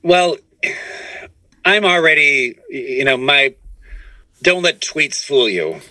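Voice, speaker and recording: A man talking casually as a comedian guest on a podcast, animated and often restarting his phrases. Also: a little high, takes it slowly, dry recording, low-quality recording. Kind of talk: casual conversational interview answers.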